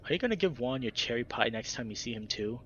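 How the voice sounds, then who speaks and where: A man's voice: mid-range voice, animated, fast talker, bright, forceful tone, highs cut off, slight background noise. A man doing a teasing, crude young guy.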